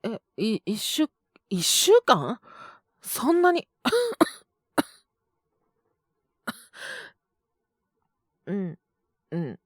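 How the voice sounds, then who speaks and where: Girl doing a sick young guy teacher, coughing, super slow tired murmurs, dry close mic. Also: medium pitch, huge pitch swings, breathy edge, no room sound, full character voice. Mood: flustered, chatting. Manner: soft, tired, mumbling, often whispered. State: feverish, coughing. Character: young male teacher, sick, soft-spoken and gentle. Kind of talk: audio drama for women listeners.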